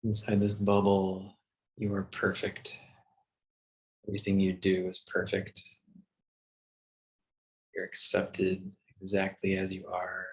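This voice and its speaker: A man leading a guided meditation in a soothing, gentle manner with long pauses. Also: sits low, monotone, dull audio. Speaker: meditation teacher.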